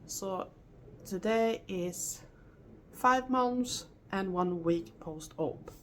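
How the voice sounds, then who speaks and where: A middle-aged woman from Sweden with a Swedish accent, giving an earnest, halting vlog update with self-corrections and non-native phrasing. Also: mid-range voice, a bit bright, slight background noise. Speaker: vlogger. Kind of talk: casual health update vlog.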